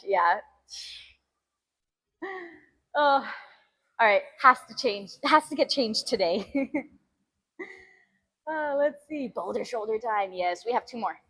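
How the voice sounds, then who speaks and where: A woman chatting casually with live viewers as a fitness stream host, giggly and animated as she talks between sets. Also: a little high. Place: gym, during a workout.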